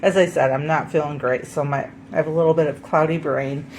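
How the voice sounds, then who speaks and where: A woman's voice: low voice, steady tone, fairly quick, slight background noise. A woman vlogger with a stuffed-up head cold, chatting casually at home through a grocery haul and often correcting herself with ums. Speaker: homesteading vlogger.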